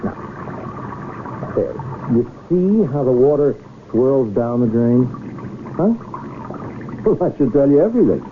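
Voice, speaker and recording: A man acting a shrewd, courtly lonely rich gentleman in a 1970s radio drama, with formal, florid, deliberate speech. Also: medium pitch, big pitch swings. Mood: explaining, smug. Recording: tinny, noisy old radio broadcast.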